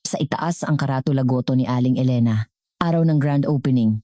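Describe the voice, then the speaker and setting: A Filipino woman narrates a scripted dramatic story in a strikingly low voice. Her tone is on the mellow side, and the recording is dry with little room sound. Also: fairly quick, dry recording.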